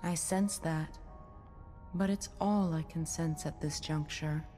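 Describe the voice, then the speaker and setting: A woman's voice: deep voice, fairly even intonation, slow, warm tone. A woman playing a cryptic, serene, eerie nurse guide in a horror game, with an enigmatic, formal manner. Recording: long reverb, faint music.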